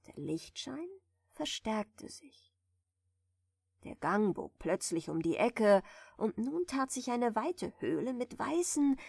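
A woman softly reading a bedtime fairy tale in a gentle, soothing manner, at times close to a whisper. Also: fairly high pitch, vocal fry, breathy edge, dry recording. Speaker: audiobook reader, storyteller.

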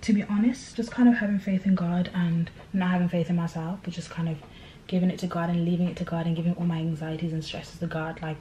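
Chatty young woman vlogging, low voice. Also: dark tone, a little hiss or hum, rough recording. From Tanzania. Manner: chatty, rambling, confiding, peppered with "like" and "do you know what I mean". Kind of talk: casual chat to camera while doing her hair. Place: small indoor room at home.

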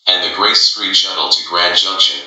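Deep voiced guy doing train announcements, very forceful sound, tons of echo. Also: normal speed, soft background music. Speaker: subway announcer. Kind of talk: scripted transit announcement. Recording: very echoey announcement clips.

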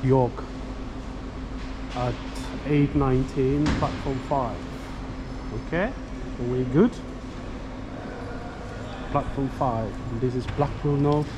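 A middle-aged man speaking casually and haltingly as a travel vlogger, thinking aloud as he goes. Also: mid-range voice. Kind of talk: casual vlog commentary. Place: railway station hall and inside a train carriage. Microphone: action camera at arm's length. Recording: loud ambient station and train noise.

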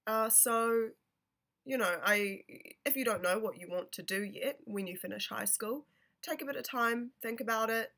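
A woman's voice: a little high, moderate pace, gravelly, pushed and bright. A woman in her twenties with a nasal voice from a cold, giving rambling vlog advice with lots of ums, on a webcam in a small bedroom. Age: 20s. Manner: rambling, many ums and restarts. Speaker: registered nurse vlogger. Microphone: webcam at close range.

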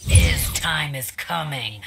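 Bitter young female ice warrior, deep for a woman, slow, haughty, effects noise. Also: sing-song, vocal fry, fairly bright tone, exaggerated voice. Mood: cold defiance. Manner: cold, defiant, haughty. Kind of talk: video game character dialogue.

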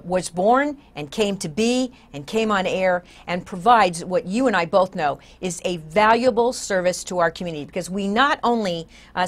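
A mature woman hosting a conversational TV interview in a studio, speaking emphatically. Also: on the low side. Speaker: talk show host. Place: indoor TV talk show studio.

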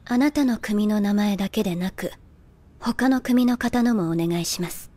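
A woman playing a calm young government agent in an anime, speaking in a polite, measured and unruffled way. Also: medium pitch, close to an everyday voice. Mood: polite, firm. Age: young adult. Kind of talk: anime drama acting. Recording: loud music under the voice.

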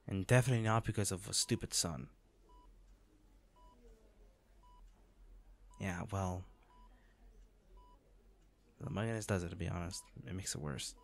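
A man playing a devoted, teasing and self-blaming boyfriend in a romantic audio roleplay, speaking haltingly and tenderly. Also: fairly low pitch. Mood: soft, resigned. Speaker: voice actor.

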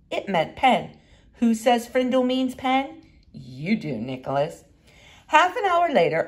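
Female reader for children's fiction: expressive, lively intonation, clear narrative emphasis. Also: lowish voice. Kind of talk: read-aloud children's storybook narration.

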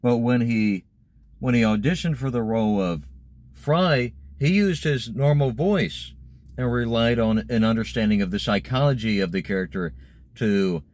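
A man's voice: medium pitch, animated, normal speed, a little mellow. A young man talking casually to camera, explaining things as a vlogger sitting in a parked car. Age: young adult. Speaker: vlogger discussing voice acting.

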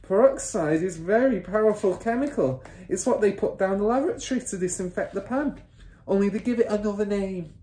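Lady doing a nagging storybook mum, shrieky and scornful, pitched way up, echoey room. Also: animated, fairly quick, warm tone, lightly acted. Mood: exasperated lecturing. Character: shrill, scolding, exasperated middle-class mother and wife. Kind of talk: children's storybook character voice.

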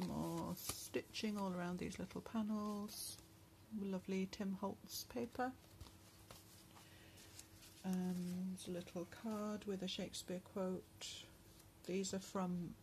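Softly chatty woman, slightly hoarse. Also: lowish voice, slight background noise, poor audio. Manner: soft, chatty, with many pauses and fillers. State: going hoarse, clearing her throat. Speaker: crafter showing a handmade journal. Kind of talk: casual craft flip-through narration. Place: indoors at a table at home. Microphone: phone camera above the table.

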